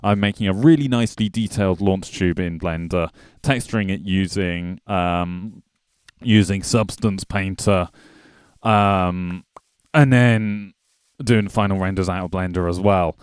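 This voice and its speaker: A man's voice: deep voice, a little slow, clean tone, dry recording, clean studio sound. Chatty man streaming a game. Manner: chatty, jokey, talks to chat and game animals. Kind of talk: casual gameplay commentary reading chat.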